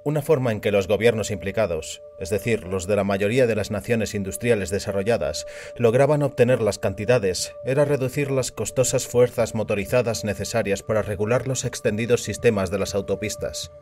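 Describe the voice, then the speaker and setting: Male narrator for audio fiction, fairly low register, scripted storytelling over soft music bed. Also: brisk.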